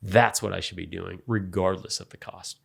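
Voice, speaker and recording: A man in his late forties talking about his insecurities in an earnest, halting way, often restarting his sentences. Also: low voice, fairly even intonation, creaky, breathy edge. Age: 40s. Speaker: podcast co-host. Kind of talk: reflective faith talk.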